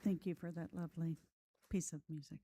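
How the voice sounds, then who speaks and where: A woman delivering a reflective sermon in a measured way, posing rhetorical questions as she goes. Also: deep voice, steady tone, warm tone, breathy edge. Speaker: preacher giving a sermon.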